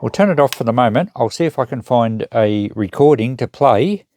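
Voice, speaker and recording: A man's voice: mid-range voice, animated, a little fast, mellow, a little hiss or hum. A man giving casual, unscripted commentary as he thinks aloud through a hands-on repair job. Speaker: host of a repair channel.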